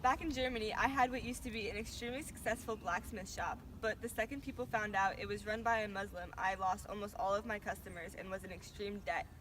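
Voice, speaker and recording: An earnest, polite German immigrant man, acted by a teenage girl in a newscast skit, telling his story. The voice is away from the mic, with clear noise around it. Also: medium pitch, steady tone, fast, few pauses, a bit bright, recorded from a distance, close to an everyday voice. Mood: earnest, hopeful. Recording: noisy outdoor recording, off mic.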